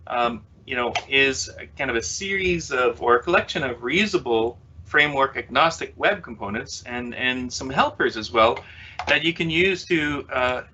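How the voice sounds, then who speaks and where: A bright, forceful-voiced man with a French Canadian accent, whose first language is French, giving a casual developer conference talk over a webcast. He rambles with plenty of "uh"s and jokes at his own expense. The audio has a slightly dull, lo-fi webcast sound. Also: mid-range voice, expressive, normal speed, slight background noise. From Quebec City, Canada. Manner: chatty, many "uh" fillers, self-mocking humor. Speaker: conference speaker, developer consultant. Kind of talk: self-deprecating tech presentation.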